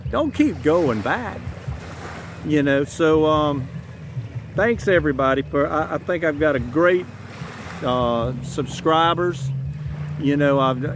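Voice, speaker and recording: Southern man, 50s, plainspoken vlogger, profane and rambling, phone mic outdoors with clear ambient noise. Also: on the high side. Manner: blunt, profane, rambling with many "you know"s. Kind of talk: casual vlog monologue. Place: outdoors on a tropical beach. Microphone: phone camera at arm's length.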